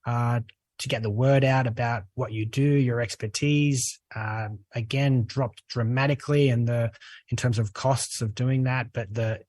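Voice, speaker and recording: A man with an Australian accent, a guest on a talk show, speaking earnestly and relaxed, with many "uh" fillers. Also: medium pitch, a little slow, no echo. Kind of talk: podcast interview.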